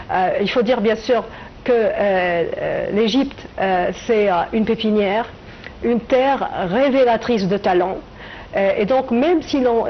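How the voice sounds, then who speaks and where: A woman's voice: fairly high pitch, muffled recording, slight background noise. Hesitant, reflective middle-aged woman from Tunisia. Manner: hesitant, reflective, many filler pauses. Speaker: writer and translator guest. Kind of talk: TV interview answers.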